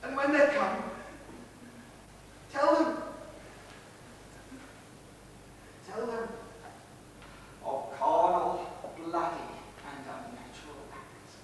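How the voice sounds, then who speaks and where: An actor playing an embittered middle-aged theatrical husband, sardonic and menacing, in a deliberate stage style. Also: male voice, fairly bright tone. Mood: anguished, grandiose. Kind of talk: theatrical stage drama acting. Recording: echoey hall, distant mic, faint rumbling noise.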